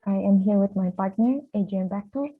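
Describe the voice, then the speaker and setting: A young woman from Indonesia, an architecture student, giving an academic presentation over a video call in a soft, hesitant way with many fillers. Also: medium pitch, brisk. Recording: tinny phone-like line.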